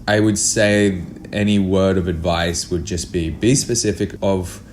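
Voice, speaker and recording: A man's voice: low-pitched, steady tone, unhurried, darkish tone, slight background noise. A man speaking as a filmmaker guest in a casual interview, earnest and emphatic, often repeating himself for emphasis.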